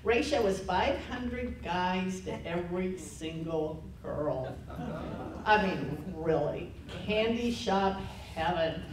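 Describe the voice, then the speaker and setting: A woman's voice: on the low side, animated, slow pace. A woman in her sixties from Texas, telling anecdotal stories at a lunch lecture and laughing at her own tales. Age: 60s. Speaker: lecture panelist. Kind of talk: storytelling talk at a lunch lecture. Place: lecture room. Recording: echoey room, distant mic, faint noise.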